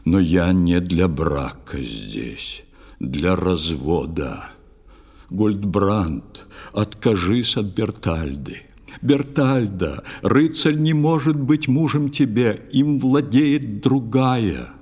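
A man's voice: lowish voice, lively intonation, slow pace, a little mellow, breathy edge, heavily acted. A man voicing a grave, insistent old priest who gives solemn, pleading warnings in a verse audio play. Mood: stern warning.

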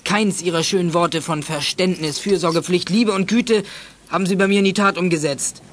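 High-voiced, aggrieved young troublemaker. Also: male voice, moderate pace, fairly bright tone. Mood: bitter, defiant. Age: young adult. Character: bitter, stubborn young male troublemaker, aggrieved ex-apprentice. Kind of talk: 1980s German children's radio drama acting.

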